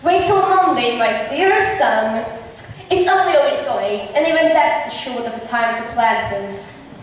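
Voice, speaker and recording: A female amateur actor playing a kindly older nobleman and father in a formal, measured stage delivery. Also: on the high side, expressive, lightly acted. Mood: agreeable. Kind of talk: amateur stage acting, school drama club. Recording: distant echoey hall, clear background noise.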